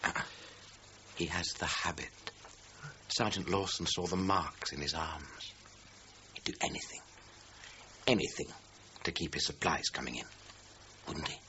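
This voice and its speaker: Low-voiced, sardonic British police detective. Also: male voice, bright, forceful tone, exaggerated voice. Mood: coolly accusing. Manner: measured, formal, sardonic. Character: senior male police detective, methodical, coolly accusing. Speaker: actor. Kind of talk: radio crime drama acting. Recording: muffled audio with faint noise.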